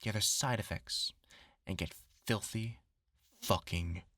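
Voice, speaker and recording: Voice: low voice, measured pace, a bit bright, dry recording, clean studio sound, full character voice. An actor in a horror audio roleplay voicing a bitter, grandiose scientist bent on revenge, mocking, theatrical and menacing. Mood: gloating, cruel. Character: vengeful, gloating scientist turned superhuman, bitter and grandiose.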